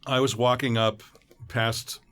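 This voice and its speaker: Middle aged guy on a podcast telling showbiz stories, mid pitched voice, acts out the quotes. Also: unhurried, clear, resonant tone. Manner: animated storyteller who acts out quotes. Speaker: podcast guest, voice actor and former impersonator. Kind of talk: anecdotal interview chat.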